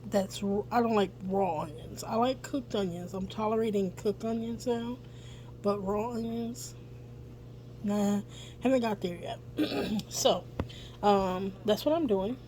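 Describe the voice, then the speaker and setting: A woman's voice: medium pitch, unhurried, mellow. A woman with a scratchy voice, chatting casually through a home cooking vlog with lots of ums, filmed on a phone in her kitchen. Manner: chatty, frequent ums and throat clearing. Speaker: family vlogger. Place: home kitchen with dryer running. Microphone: phone camera, later on a mount.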